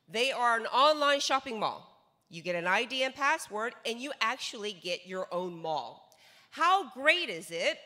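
A woman's voice: mid-range voice, bright, forceful tone. A woman in her forties with a North American accent gives an emphatic sales lecture on stage into a handheld mic, repeating words for stress and asking rhetorical questions. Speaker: business seminar speaker. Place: large stage in a hall. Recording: long hall reverb.

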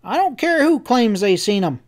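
Man doing a high-pitched, rasping Western cowboy. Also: very animated, normal speed, darkish tone. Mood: insistent. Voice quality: harsh, rasping. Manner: rustic Western drawl, folksy bad grammar. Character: gruff cowboy friend Sam, stubborn, excitable, fiercely loyal.

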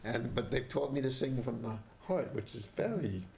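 An older man hosting a casual chat in a small TV studio, with a hoarse voice and tentative, self-doubting asides. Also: medium pitch, lively intonation, normal speed, a little mellow, slight background noise. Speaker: host.

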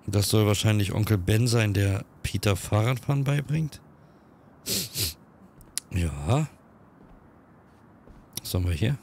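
A man's voice: fairly low pitch, a little mellow, slight background noise. Chatty gamer man with a cold. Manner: quick, chatty, reads on-screen text aloud. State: has a cold. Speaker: let's-play gamer. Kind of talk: casual gameplay commentary.

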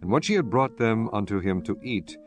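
A man's voice: lowish voice, expressive, fairly quick. A man narrating scripture as a scripted dramatized reading, in a formal, solemn storytelling manner. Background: background music.

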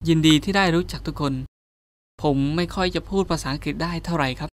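A man's voice: a little high, rapid-fire, lightly acted. A man playing a shy, polite young photographer, a native Thai speaker, in a radio drama.

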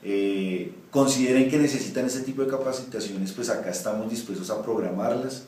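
A man's voice: medium pitch, brisk, a little mellow, slight background noise. A man in his thirties, a municipal official, answering interview questions with many restarts and fillers in an office. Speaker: municipal ombudsman. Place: office with window blinds. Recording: echoey office from far off.

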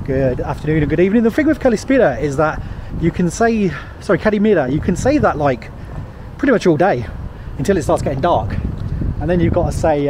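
A man, chatting casually to a handheld camera as he walks around a city, rambling with lots of fillers. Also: on the high side. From England. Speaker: travel vlogger. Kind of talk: casual walking vlog. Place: outdoors on busy city streets. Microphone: handheld camera at arm's length. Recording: noisy outdoor street recording. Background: street ambience and traffic.

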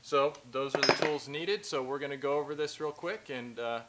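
A man's voice: mid-range voice, moderate pace, heavy background noise. A man in his thirties giving a casual hands-on how-to demonstration in a large indoor workshop, explaining plainly as he works, recorded on a close camera mic. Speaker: tradesman instructor.

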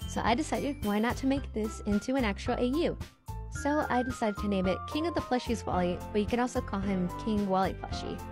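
A woman explaining her own fan-made character in a narration. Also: medium pitch. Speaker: fan artist presenting a character.

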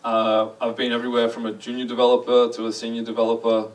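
A young man with an Australian accent talks casually to a conference crowd, joking at his own expense and asking questions. His voice sits at a middle pitch. The recording places him a little back from the mic in a large dark lecture hall. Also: completely flat delivery, quick pace, distant mic. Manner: chatty, self-deprecating, asks the audience questions. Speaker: conference speaker.

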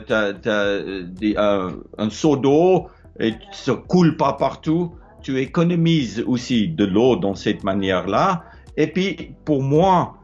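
A middle-aged man with an Afrikaans accent who speaks French as a second language, talking casually and haltingly about his explorer life, recorded indoors on a close-held phone. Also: mid-range voice, muffled recording. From South Africa. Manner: hesitant, repeats words, searching for words. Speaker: explorer sharing advice. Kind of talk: casual advice monologue. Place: wood-ceilinged room indoors. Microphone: phone held close, low angle.

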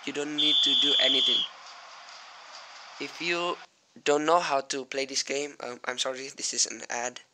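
A young man from Indonesia chats his way through a mobile soccer match, rambling, losing his train of thought, then yelling at the big plays. His voice sits at an ordinary middle pitch. Loud noise and clicks run under the whole recording, with fireworks going off outside. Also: flat delivery, normal speed, long pauses. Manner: rambling, easily distracted, bursts out loud at plays. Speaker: small gaming YouTuber. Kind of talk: casual mobile gameplay commentary. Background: fireworks bangs from the neighborhood.

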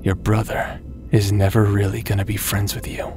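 A man acting as a taunting, manipulative villain in a dramatic audio roleplay, with a drawn-out, mocking delivery. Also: on the low side, flat delivery, a little mellow, soft background music, natural voice. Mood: coaxing. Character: taunting male villain, manipulative, mocking, coldly friendly tempter.

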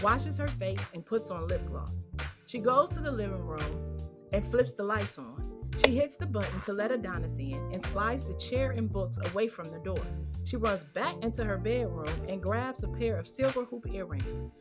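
An American woman giving scripted, present-tense narration for an audio drama. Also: medium pitch. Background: music.

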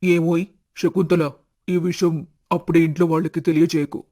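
A man voicing a calm, stoic older husband and father in an animated family story, unruffled and matter-of-fact. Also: a little high, normal speed. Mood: reassuring, secretive.

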